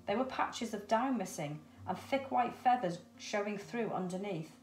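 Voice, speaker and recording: A woman's voice: medium pitch, lively intonation, normal speed, a little mellow, soft background music. A middle-aged woman reading a children's story expressively. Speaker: storybook reader. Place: indoors, small room with cupboards. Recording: roomy echo.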